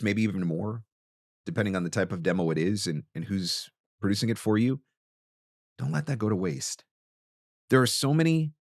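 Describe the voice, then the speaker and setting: Man, low-pitched, dry close-miked sound, podcast host, conversational coaching, emphatic, repetitive phrasing, rhetorical questions. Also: no echo.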